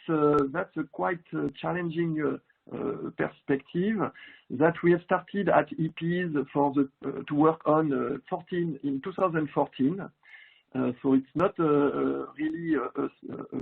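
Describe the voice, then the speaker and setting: A man's voice: on the high side, creaky, breathy edge. French man on a tinny phone line. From France. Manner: hesitant, many fillers and restarts. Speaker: webinar presenter. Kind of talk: technical webinar presentation.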